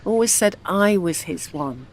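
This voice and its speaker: A woman's voice: fairly low pitch, lively intonation, measured pace, rough recording. An actress with a British accent playing a grieving older widow and mother, wry and devoted, in an intimate audio drama. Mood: fond, wistful.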